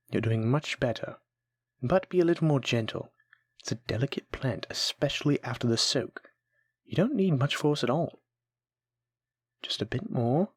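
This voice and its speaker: Male alchemy teacher played by a male actor for fantasy audio roleplay: mid-pitched, animated intonation, gentle, encouraging, intimate dry recording. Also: dry recording. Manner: gentle, patient, reassuring instruction. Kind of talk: audio roleplay acting, spoken intimately to listener.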